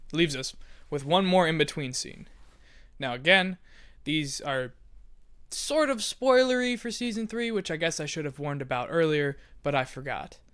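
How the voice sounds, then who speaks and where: A man's voice: on the high side, a bit bright, dry recording. A man hosting in an informal, self-deprecating way, casually explaining a story to listeners. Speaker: podcast host and creator.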